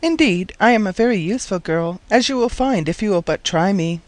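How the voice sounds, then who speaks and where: A man's voice: sits high, expressive, a little fast, dry recording. A man voicing a forlorn, pleading teenage orphan girl in a storybook, earnest, plaintive and formally polite. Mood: eager, pleading.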